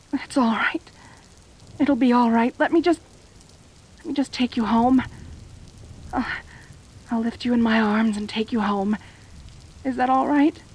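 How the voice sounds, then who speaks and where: A woman's voice: high voice, husky, full character voice. A woman playing a lonely, possessive centaur girl in an intimate ASMR roleplay, tender and anxious, with a hesitant, pleading manner. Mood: anguished, tender.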